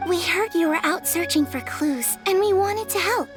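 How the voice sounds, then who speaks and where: High, gentle little yokai girl. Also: moderate pace, loud music behind the voice. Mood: sincere. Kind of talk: anime-style video game voice acting.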